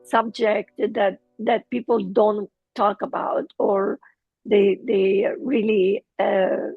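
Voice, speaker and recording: A middle-aged woman with a Lebanese accent, telling her personal story as an interview guest, halting as she searches for words. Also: medium pitch, flat delivery, creaky, slightly rough, no echo, phone-quality sound, soft background music.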